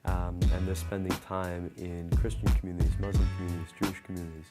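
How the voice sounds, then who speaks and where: A man being interviewed, explaining his work clearly. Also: low-pitched, little pitch movement, fast talker. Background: music bed.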